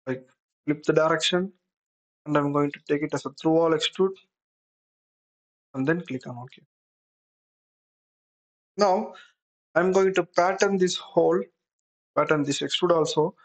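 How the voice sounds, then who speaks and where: A software tutor giving an unscripted screen-recorded tutorial, explaining step by step while thinking aloud. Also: medium pitch, rough recording.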